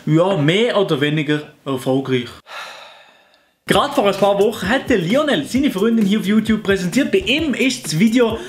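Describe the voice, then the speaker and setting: A man's voice: sits high, expressive, normal speed, a little hiss or hum. A young man with a Fribourg Swiss German accent, hosting a comedy video and mocking other YouTubers in a sarcastic tone. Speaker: YouTuber host. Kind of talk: satirical commentary.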